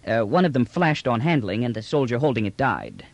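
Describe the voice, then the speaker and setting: A man's voice: medium pitch, sing-song, a little fast, creaky, no room sound. A man voicing a stiff, apprehensive male lieutenant, formal and hesitant with many uhs, in an audiobook. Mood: stiff, nervous. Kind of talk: audiobook character dialogue.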